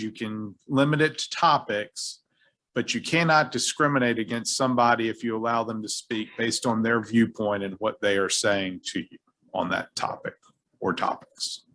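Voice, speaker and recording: A man's voice: mid-range voice, a bit bright. A man who is the city attorney, briefing a city council on legal rules and walking through hypothetical examples. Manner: explanatory, uses hypothetical examples. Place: council chamber.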